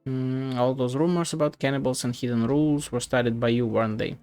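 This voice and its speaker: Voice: mid-range voice, fairly even intonation, fairly quick, a little mellow, clean tone. A game streamer giving casual play-along commentary, muttering, thinking aloud and swearing now and then. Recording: game music behind voice. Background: video game music.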